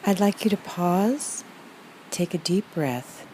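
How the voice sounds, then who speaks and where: Female meditation guide for a parody: low register, very slow, soothing and straight-faced. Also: slight background noise, low-quality recording. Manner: calm, soothing, deliberate, deadpan.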